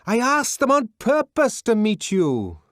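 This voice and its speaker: A man voicing an anxious, whining man who shouts pleas through a locked door, near tears. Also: very high voice, huge pitch swings, unhurried, no room sound, clean studio sound, voice pushed up, heavily acted. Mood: pleading wail.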